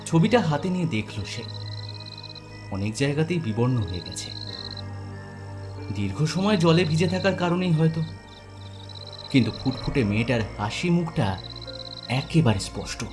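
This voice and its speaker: A man's voice: a little high, sing-song, normal speed, pauses often. A man narrating a scripted horror audio story in a dramatic style. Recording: music bed behind.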